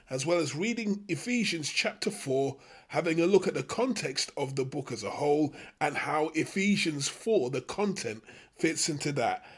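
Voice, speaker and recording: A man hosting a Bible study, teaching from a script in measured, emphatic phrases. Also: a little high, husky. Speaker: host of a Bible study show.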